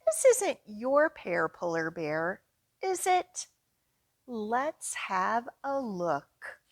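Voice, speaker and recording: A woman's voice: medium pitch, sing-song, extremely slow, no echo, exaggerated voice. A woman voicing a helpful, curious little mouse from a children's picture book, with a bouncy, questioning delivery. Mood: inquisitive.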